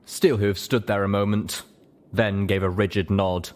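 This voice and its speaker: A man's voice: fairly low pitch, level tone, measured pace. A man reading scripted fiction aloud as a dramatic audiobook narrator. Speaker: audiobook reader.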